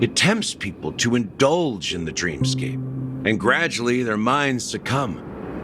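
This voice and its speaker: An actor playing a cunning, smooth-talking male schemer in a game cutscene, with a sly, theatrical delivery. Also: on the low side. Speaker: scheming dreamland conspirator. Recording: studio voice over loud music.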